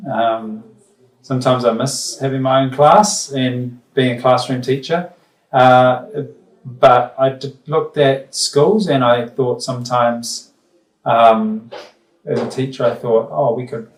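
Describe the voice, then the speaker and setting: A middle-aged New Zealand man and school principal, answering interview questions thoughtfully with many ums and restarts. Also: mid-range voice. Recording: roomy sound from far off the mic.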